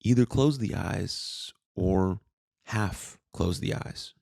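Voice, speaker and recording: Slow, deep gravelly male meditation guide. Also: dry recording, studio quality. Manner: calm, soothing, unhurried with long pauses. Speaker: meditation guide, podcast host.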